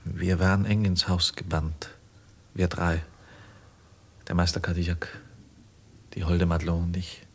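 Anguished young journeyman, very husky, low. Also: flat delivery, a little slow, pauses often, full character voice. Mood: heavy, recounting. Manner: theatrical, declamatory, swinging from hushed to impassioned. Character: anguished young goldsmith's journeyman, devoted lover, confessing. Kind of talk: 1950s German radio drama acting. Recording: muffled vintage 1950s radio recording.

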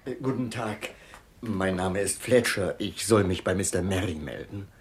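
A man's voice: sits low, moderate pace, background noise, close to an everyday voice. An actor playing a meek, polite middle-aged man looking for work in a 1970s radio play, speaking hesitantly and courteously.

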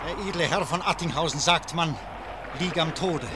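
A man's voice: a little high, normal speed, background noise, close to an everyday voice. An actor playing a man who hurriedly brings bad news in a hasty, reporting manner. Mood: urgent. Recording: storm sound effects behind.

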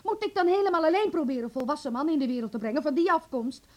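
A woman's voice: sits high, expressive, quick pace. A woman acting a bitter, domineering, scornful middle-aged mother in a Dutch radio drama, indignant and reproachful.

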